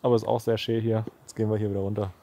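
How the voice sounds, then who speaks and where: A man's voice: on the low side, level tone, very fast, mellow. Young man chatting on a mountain hike. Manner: colloquial, slangy. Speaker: travel vlogger. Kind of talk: casual chat while hiking. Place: outdoors on a mountain trail. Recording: outdoor camera audio with ambient noise.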